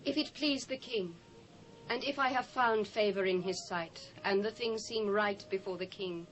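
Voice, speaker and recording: A woman's voice: normal speed, a bit bright, close to an everyday voice, low-quality recording. A British woman playing a dignified queen who entreats formally and earnestly in a scripture audio drama. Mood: pleading. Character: earnest, dignified young queen pleading for her people. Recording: faint noise and rumble, old cassette.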